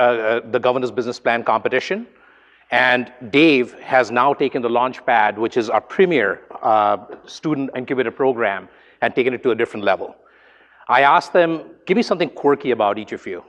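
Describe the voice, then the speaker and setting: A man's voice: mid-range voice, fairly bright tone, lo-fi sound. Enthusiastic male host in a hall. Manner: brisk, enthusiastic, off the cuff. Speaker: host and professor of entrepreneurship. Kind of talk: introducing speakers at an event. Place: large lecture hall, amplified.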